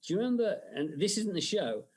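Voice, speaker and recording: An English man telling lively anecdotes as a guest in a casual interview, often slipping into mock accents. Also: sits high, fast, slightly rough. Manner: animated storyteller who slips into Scottish impressions. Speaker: guest, special effects artist.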